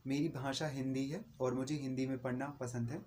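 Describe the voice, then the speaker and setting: A man's voice: medium pitch, fairly even intonation, fairly quick, darkish tone, slight background noise. A Hindi-speaking man giving an earnest, repetitive book recommendation in a casual video review.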